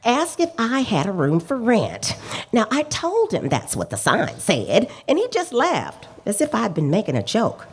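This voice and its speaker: A woman's voice: medium pitch, huge pitch swings, unhurried, breathy edge, heavily acted. A woman playing a fussy, talkative landlady in a radio drama, needling and pert.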